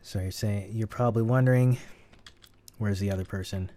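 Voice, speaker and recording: A man hosting a casual audio editing tutorial, chatting in a relaxed way and laughing at himself. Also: on the low side, moderate pace. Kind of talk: casual screen-recorded tutorial.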